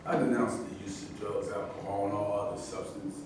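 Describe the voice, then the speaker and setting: A man's voice: fairly low pitch, level tone, a little fast, close to an everyday voice. Guy playing a earnest assertive athlete, wont back down, echoey stage reading, mic far off. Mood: solemn. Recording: very echoey room, distant mic, faint noise.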